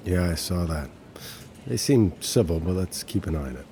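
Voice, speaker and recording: Affable dad with lively intonation. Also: male voice, low voice, normal speed, slight background noise. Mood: casual. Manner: easygoing, casual, slightly hesitant. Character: affable middle-aged dad, company security chief. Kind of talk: naturalistic audio drama acting.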